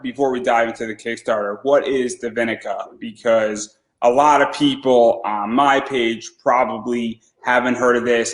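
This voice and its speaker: A man hosting a casual interview show, enthusiastic and quick to stumble and repeat words. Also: medium pitch. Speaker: podcast host. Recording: roomy echo.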